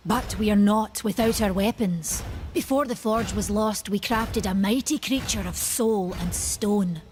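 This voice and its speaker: A woman's voice: mid-range voice, unhurried, a little mellow, a little hiss or hum, close to an everyday voice. An actress playing a proud, stubborn female forge keeper who talks in old-fashioned, plainspoken terms, with game music behind her. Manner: archaic, plainspoken, bargaining.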